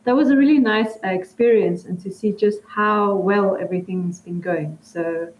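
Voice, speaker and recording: A South African-born woman, an election observer, calmly and clearly describing her day over a remote video call. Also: medium pitch, moderate pace, echoey room, slight background noise, rough recording. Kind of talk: remote interview. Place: room in Switzerland.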